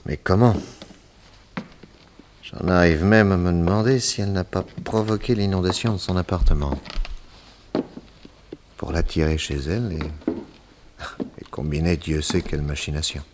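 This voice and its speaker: A man playing a shrewd, courteous private detective in a radio serial, speaking in a deliberate, inquisitive, polite manner. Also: deep voice, steady tone, noisy background. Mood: musing. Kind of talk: old French radio detective serial acting.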